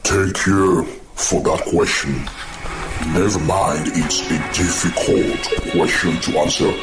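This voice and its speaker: A man's voice: sits low, exaggerated voice. A man playing a proud, pompous chief who lectures and takes offense over his title. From Nigeria. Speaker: film distributor and producer. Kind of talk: amateur radio drama acting. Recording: echoey room with background music.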